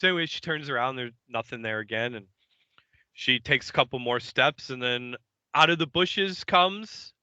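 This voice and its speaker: A man's voice: medium pitch, moderate pace, bright, forceful tone, clean tone, no echo, muffled recording. A man co-hosting a podcast, retelling an episode in a loose, joking way with lots of fillers. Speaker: podcast co-host. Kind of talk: casual episode recap chat.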